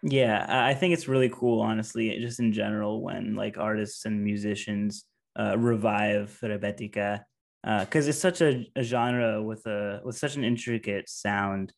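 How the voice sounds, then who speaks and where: A man's voice: fairly low pitch, steady tone, normal speed, vocal fry. Upbeat man podcast co-host. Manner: enthusiastic, many "like" and "uh" fillers. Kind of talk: casual chat.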